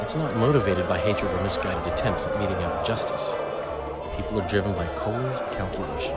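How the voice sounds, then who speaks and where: A man's voice: low-pitched, natural voice. A man as a talkative, theatrical fantasy bard. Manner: expressive, wordy, dramatic. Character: talkative, theatrical male bard, gossipy and worldly. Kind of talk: fantasy video game cutscene acting. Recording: loud music and crowd behind. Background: loud music, busy outdoor crowd.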